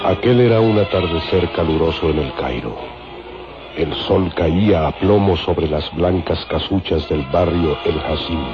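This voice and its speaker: A man's voice: deep voice, unhurried. A man delivering solemn, dramatic scripted narration for a radio serial. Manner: solemn, descriptive storytelling. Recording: dull radio recording with music.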